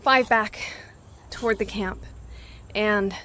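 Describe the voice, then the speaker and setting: A woman playing an anxious, fragile apocalypse survivor in an audio drama, soft-spoken and halting. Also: mid-range voice, steady tone, a little hiss or hum. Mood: tense.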